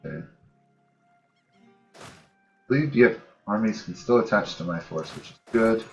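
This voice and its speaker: Male gamer, low-pitched, casual let's play narration, thinking out loud, light music underneath. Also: expressive, moderate pace, gravelly. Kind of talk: casual strategy game commentary, thinking aloud.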